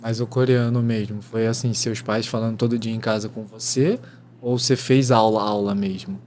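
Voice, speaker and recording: A man's voice: on the low side, fairly even intonation, moderate pace, darkish tone, slight background noise. A man co-hosting a podcast, explaining things earnestly in casual chat. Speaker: podcast co-host.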